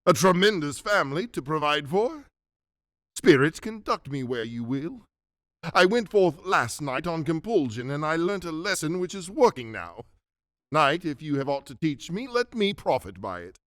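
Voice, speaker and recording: A man's voice: a little high, big pitch swings, normal speed, a bit bright, no room sound. A man playing an anxious, humbled man who pleads with spirits, earnest and formal, in a radio drama. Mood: meek, earnest.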